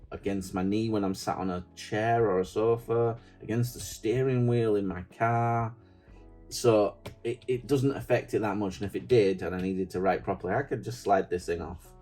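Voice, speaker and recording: A middle-aged man with a Yorkshire accent talks through his notebooks in a casual, rambling way and pokes fun at himself. His voice sits low and sounds warm and relaxed. Faint music plays softly behind him. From Yorkshire, England. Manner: chatty, rambling, self-deprecating. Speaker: stationery vlogger. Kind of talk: casual show-and-tell.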